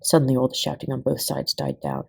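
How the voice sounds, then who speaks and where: A woman reading a children's story aloud in an expressive, dramatic manner. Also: a bass voice, quick pace, creaky. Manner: dramatic, puts on voices for dialogue. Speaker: volunteer story reader.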